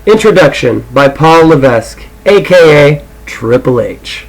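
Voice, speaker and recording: A man's voice: on the high side, expressive, a little slow, rough recording. A man with an irreverent, foul-mouthed manner, talking casually and reading aloud as an amateur audiobook reader.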